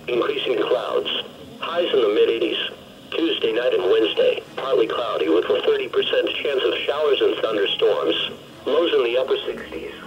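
A synthetic man's voice reading a scripted weather bulletin in a formal way, as a weather radio announcer. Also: mid-range voice. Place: played through a small weather radio speaker, filmed indoors. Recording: muffled radio speaker with faint noise.

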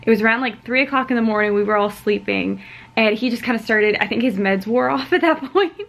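Young woman vlogger with creaky voice. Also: a little high, fairly bright tone. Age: 20s. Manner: informal, halting, conversational. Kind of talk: casual chat to camera. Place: hotel room, indoors.

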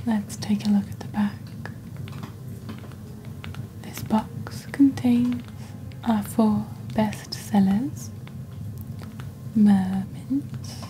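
A woman speaking in a breathy, whispery ASMR style, close to the microphone, with a deliberate theatrical delivery as she presents a scripted roleplay. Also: mid-range voice, low-quality recording. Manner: slow, deliberate, hushed, theatrical storyteller delivery. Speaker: host of a roleplay shopping channel. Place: indoors at a desk. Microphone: close desktop microphone.

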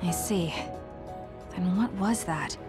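A woman's voice: on the low side, slow pace. A young woman playing a composed, serious squad leader in an anime game. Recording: music behind voice.

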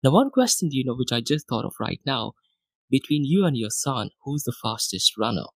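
A man's voice: medium pitch, expressive, moderate pace, slightly rough, dry recording. A man hosting a podcast interview, enthusiastic and chatty as he asks questions. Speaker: podcast host. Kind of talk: interview host.

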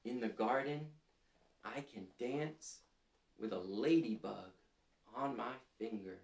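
A man in his thirties reading a picture book aloud to young children in a gentle, deliberate way, putting simple questions to them. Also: medium pitch, creaky. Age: 30s. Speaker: storytime reader for children. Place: small indoor living room, seated. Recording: roomy echo.

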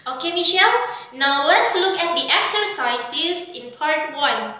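Young female English teacher, bright high voice, slow instructional delivery in a reverberant classroom. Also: expressive. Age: young adult. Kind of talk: instructing a pupil to camera. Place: bare indoor classroom before a whiteboard. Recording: very echoey room.

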